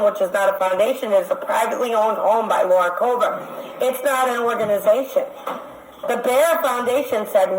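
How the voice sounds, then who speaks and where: A woman caller making a complaint over the phone, speaking in an insistent, accusatory and halting way. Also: on the high side. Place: phone call. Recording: echoey phone call recording.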